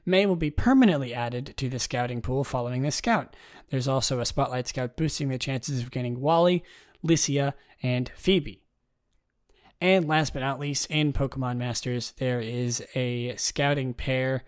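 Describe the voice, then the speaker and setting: Young guy w a raspy voice doing a laid back solo podcast, kinda rambly. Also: normal speed. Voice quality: raspy, low tonight. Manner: relaxed and rambling, many ums and restarts. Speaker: podcast host. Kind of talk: casual solo podcast, reading game news.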